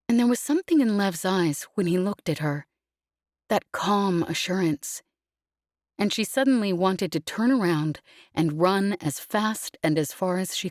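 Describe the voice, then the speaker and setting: A woman narrating a scripted novel as an audiobook, in a measured storytelling manner. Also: lowish voice, a little mellow, no room sound, clean studio sound.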